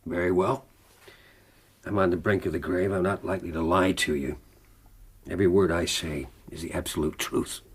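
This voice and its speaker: An actor playing a gravely ill, defiant older man telling his life story in a grave, deliberate, dramatic way for radio drama. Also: low voice, steady tone. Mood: grave, intense.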